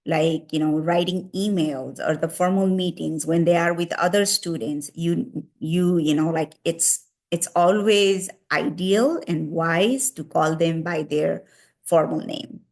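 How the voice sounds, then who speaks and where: A woman's voice: low voice, measured pace. A woman in her thirties from Nepal with a Nepali accent, giving casual advice and leaning often on "you know" and "like.". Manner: heavy use of fillers "you know" and "like".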